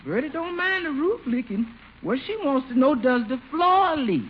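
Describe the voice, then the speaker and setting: High-voiced, animated household cook. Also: female voice, moderate pace. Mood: skeptical. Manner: folksy, third-person self-reference, insistent. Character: bossy, practical household cook, a woman. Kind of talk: 1940s radio comedy acting. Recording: dull, noisy old radio recording.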